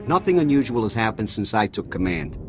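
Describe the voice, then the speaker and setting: A man's voice: fairly low pitch, big pitch swings, fairly quick, music in the background. A man playing a sarcastic, dismissive army colonel who grumbles about orders. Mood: scornful. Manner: sardonic, grumbling. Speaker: regimental colonel.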